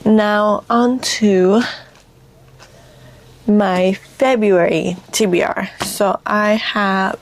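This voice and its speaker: A woman with a raspy voice, a little congested from allergies, chatting casually and hesitantly about books as a vlogger. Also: medium pitch. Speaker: book vlogger. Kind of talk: casual book chat.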